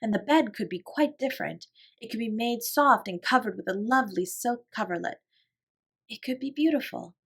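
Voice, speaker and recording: A woman reading aloud and voicing a gentle, imaginative young girl who speaks softly and wistfully. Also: on the high side, lively intonation, moderate pace, slightly rough, voice pushed up. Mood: dreamy. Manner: soft, wistful, coaxing.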